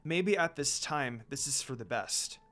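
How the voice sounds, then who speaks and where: A man's voice: mid-range voice, lively intonation, measured pace, fairly bright tone, pitched below the performer's own voice. A man voicing a bold young female wolf demon who speaks firmly.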